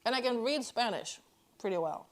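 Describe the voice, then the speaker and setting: Chatty woman, slightly high voice. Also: expressive, normal speed, rough recording. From Norway. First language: Norwegian. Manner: chatty, talks between bites, reads out chat. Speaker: streamer. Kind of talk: casual chat while eating on stream.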